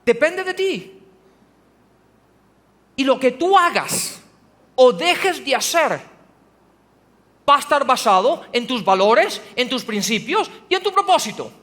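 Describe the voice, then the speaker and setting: A man preaching from the pulpit, his voice sitting strikingly high as he presses the congregation with question after question. His words ring out into a church hall with a long reverb trailing behind each phrase. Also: big pitch swings, slow, long pauses, bright, forceful tone. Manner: preaching with rhetorical questions, rising to emphatic passages. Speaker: pastor. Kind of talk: sermon.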